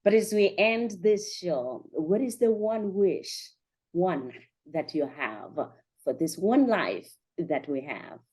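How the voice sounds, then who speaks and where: A woman's voice: lowish voice, big pitch swings, slow pace, darkish tone, breathy edge. A woman hosting a podcast interview, putting questions to a guest and responding to the answers. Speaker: podcast host.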